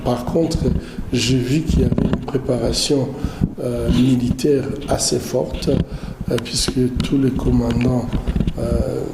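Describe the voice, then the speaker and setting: A man giving political commentary in an interview, speaking deliberately and often searching for words. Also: mid-range voice, little pitch movement. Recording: roomy echo, clearly noisy background.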